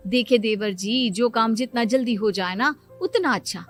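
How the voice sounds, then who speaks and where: Woman acting a pushy, high-voiced scheming sister-in-law. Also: normal speed, soft background music, lightly acted. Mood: coaxing, insistent. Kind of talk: dramatised Hindi moral story acting.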